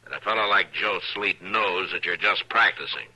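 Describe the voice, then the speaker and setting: Deep, forceful Western marshal. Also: male voice, moderate pace, full character voice, poor audio. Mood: dry, questioning. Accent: American. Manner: firm, terse, dry. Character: steady, dry, authoritative US marshal. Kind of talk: 1950s radio western acting. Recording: old radio recording.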